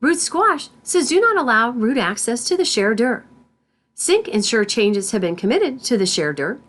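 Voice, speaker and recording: A woman instructor reading scripted tutorial narration in a deliberate, step-by-step manner. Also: on the high side, sing-song, fairly bright tone. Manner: deliberate, spells out terms symbol by symbol.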